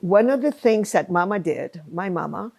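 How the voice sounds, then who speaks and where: Gravelly woman guest, emphatic. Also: mid-range voice, lively intonation, moderate pace, a little mellow. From Chile. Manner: emphatic, speaks in short bursts. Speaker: guest, program founder. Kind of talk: conversational interview.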